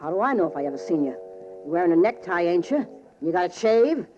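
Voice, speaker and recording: A woman's voice: fairly low pitch, sing-song, fairly quick. An actress playing a world-weary, slangy, sardonic flophouse landlady in a 1940s radio drama. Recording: noisy, muffled 1940s radio broadcast.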